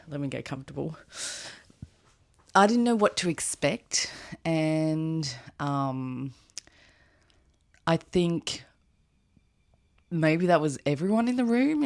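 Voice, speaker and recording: A woman with a raspy, hoarse voice, chatting casually in a rambling vlog with fillers and laughs. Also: low voice, no echo, clean studio sound. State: hoarse after an event. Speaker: knitwear designer vlogger. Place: outdoors with birds nearby.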